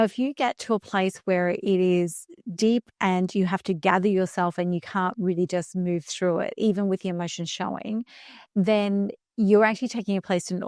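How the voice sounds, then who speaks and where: A woman in her fifties talking earnestly about speaking and recovery, slowing down to stress key phrases. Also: fairly low pitch, a little mellow, dry recording, clean studio sound. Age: 50s. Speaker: guest speaker coach. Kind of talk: reflective podcast conversation.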